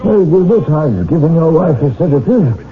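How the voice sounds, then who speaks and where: A practical, concerned male doctor with a reassuring manner and lively intonation. The recording is thin and tinny, an old radio drama. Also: fairly high pitch, fairly quick.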